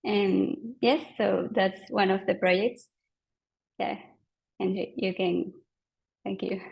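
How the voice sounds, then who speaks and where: Slow, creaky, hesitant woman presenting. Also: mid-range voice, fairly even intonation, dull audio. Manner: halting, hesitant. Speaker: project team member presenting. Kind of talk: informal project introduction.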